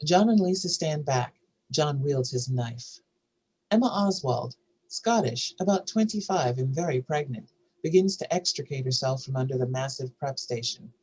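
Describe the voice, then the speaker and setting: A woman with a husky voice reading screenplay stage directions as a narrator. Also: a bass voice, expressive, moderate pace, darkish tone, low-quality recording.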